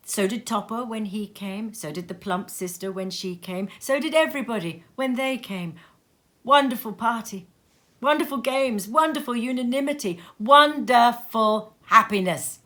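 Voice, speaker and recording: A woman narrating a classic tale as an expressive storybook reading at home, lively and theatrical, with many changes of voice. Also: medium pitch. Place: cozy sitting room at home.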